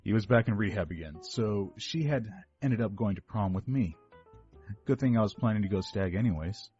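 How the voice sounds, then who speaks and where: An actor playing an overweight eighteen-year-old rich kid giving a rambling, defensive first-person confession to police. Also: male voice, fairly low pitch, lively intonation, moderate pace, a little mellow, highs cut off, natural voice. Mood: rambling, confiding. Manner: rambling, self-correcting, defensive. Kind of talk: dramatic first-person monologue reading. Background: background music.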